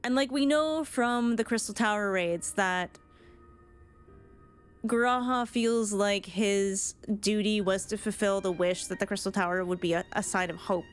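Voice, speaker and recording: A woman's voice: mid-range voice, a little slow, smooth. A woman game streamer giving casual reaction commentary on cutscenes, thinking aloud as she goes. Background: game music.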